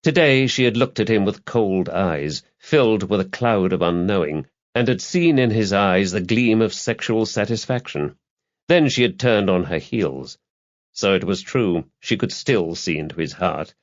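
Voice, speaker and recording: A man's voice: on the low side, lively intonation, unhurried, lo-fi sound. A man reading a crime novel aloud as an audiobook narrator, in a scripted literary style.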